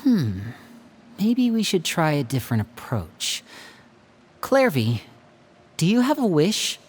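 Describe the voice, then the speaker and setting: A man's voice: sits high, wildly swooping pitch, slow pace, a little hiss or hum, heavily acted. A man voicing a clever, protective young magician in a video game, earnest and take-charge. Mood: probing, puzzled. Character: clever young male magician, protective, composed leader of siblings.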